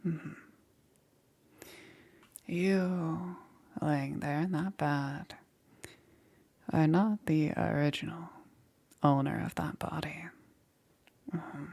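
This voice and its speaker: A woman playing a calm, eerily gentle witch necromancer in an ASMR roleplay, breathy and often whispering, with unhurried pauses. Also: sits low, exaggerated voice. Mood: calm explaining. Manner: unhurried, halting with pauses, soothing. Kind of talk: ASMR roleplay, soft and intimate.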